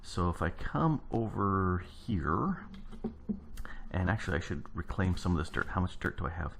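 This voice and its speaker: A man casually commenting on his gameplay, his voice gravelly from early morning, rambling and thinking aloud as he builds. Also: deep voice, big pitch swings, normal speed, fairly bright tone, slight background noise. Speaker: let's play host.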